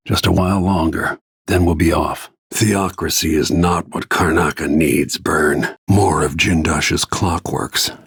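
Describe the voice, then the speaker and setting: A man playing a grim, world-weary middle-aged assassin in a video game, with a gravelly, husky voice and a terse, brooding manner. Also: extremely low pitch, steady tone, measured pace, few pauses, a bit bright, dry recording, studio quality, full character voice. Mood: grim, determined. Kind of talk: scripted video game voice lines.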